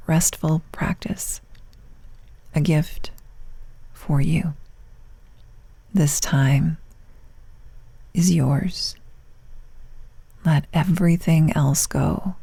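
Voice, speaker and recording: A woman leading a guided meditation as a yoga nidra teacher, speaking in hushed, soft phrases with long pauses, turning breathy at phrase ends. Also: sits low, dry recording. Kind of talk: guided meditation, soothing instruction.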